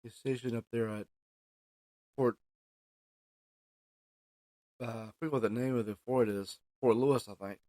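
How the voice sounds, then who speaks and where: A middle-aged man from Texas giving a casual solo podcast monologue in a halting, plain-spoken way, pausing mid-sentence. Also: medium pitch, steady tone, warm tone, dry recording. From Houston, Texas. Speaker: podcast host.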